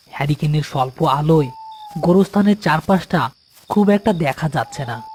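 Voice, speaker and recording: A man's voice: on the high side, darkish tone, husky. A man reads a scripted horror story as its narrator. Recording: music behind the voice. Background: background music.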